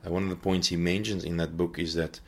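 A man with a very low voice hosts a Bible teaching podcast. He talks off the cuff in an earnest way, often pausing to find the next word. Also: little pitch movement. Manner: earnest, searching for words as he goes. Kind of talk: unscripted teaching talk.

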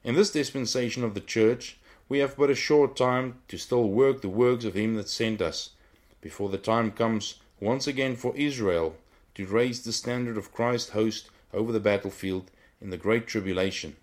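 A man with a very low voice hosts a Bible teaching podcast. He talks off the cuff in an earnest way, often pausing to find the next word. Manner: earnest, searching for words as he goes. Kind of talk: unscripted teaching talk.

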